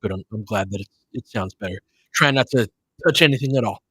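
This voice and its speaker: A man hosting a live basketball stream, chatting informally with viewers and commentating in a halting way, as if thinking aloud. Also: lowish voice, a bit bright, husky, no echo. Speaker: livestream host giving basketball play-by-play. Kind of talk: casual chat with live viewers, game commentary.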